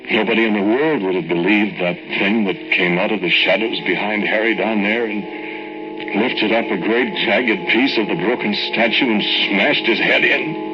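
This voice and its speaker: A very deep-voiced, haunted killer tells his confession in halting, intimate phrases. It is old-time radio drama, muffled, with music clearly playing behind him. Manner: halting, repetitive, intimate delivery. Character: guilt-ridden male murderer narrating his dark confession. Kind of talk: 1940s radio drama acting, first-person narration.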